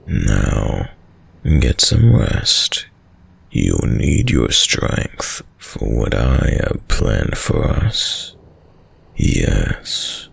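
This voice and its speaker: A man playing a sadistic, dominant vampire in an intimate audio roleplay, speaking in a commanding, silkily menacing and condescendingly tender way, deep and breathy. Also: exaggerated voice. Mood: soothing, possessive. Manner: slow, commanding, condescendingly tender, often dropping to whispers. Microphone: close, dry studio mic.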